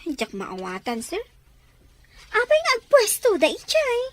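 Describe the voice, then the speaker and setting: A woman's voice: extremely high pitch, huge pitch swings, measured pace, heavily acted. A woman playing an earnest, questioning young daughter in an audio drama, with an Ilocano accent. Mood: questioning, puzzled.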